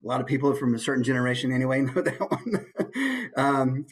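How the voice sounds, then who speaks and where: American male presenter, slightly husky mid-range voice, informal and conversational teaching. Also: medium pitch, little pitch movement, fairly quick. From United States. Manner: conversational, demonstrates as he explains. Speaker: voice actor giving a presentation. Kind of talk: informal instructional talk.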